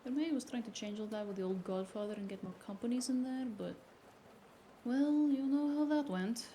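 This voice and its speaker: A woman's voice: a little high, normal speed, warm tone, slight background noise, lightly acted. A woman playing a sassy, bossy, streetwise member of a cyberpunk crew in an audio drama, blunt and teasing.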